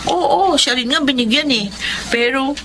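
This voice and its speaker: A woman's voice: sits high, a little slow, noisy background. A woman with a Filipino accent playing a poor, respectful, wide-eyed teenage girl in a radio drama. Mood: excited. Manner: girlish, respectful, exclaiming.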